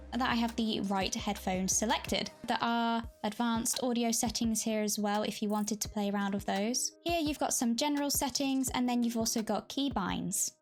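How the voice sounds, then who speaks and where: High-voiced woman giving an upbeat tutorial. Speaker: host of a software tutorial. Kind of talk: scripted tutorial narration with casual enthusiasm. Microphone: Blue Yeti desktop microphone. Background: background music.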